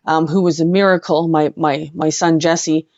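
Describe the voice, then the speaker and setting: A woman's voice: deep voice, fairly even intonation, moderate pace, a little mellow, dry recording. Middle-aged Canadian woman, dull lo-fi sound. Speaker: interview guest, singer. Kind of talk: personal storytelling in conversation.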